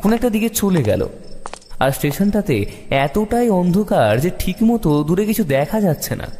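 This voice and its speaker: A man narrating a detective story in the first person with expressive, suspenseful dramatic delivery. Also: high voice. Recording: long reverb, music behind the voice.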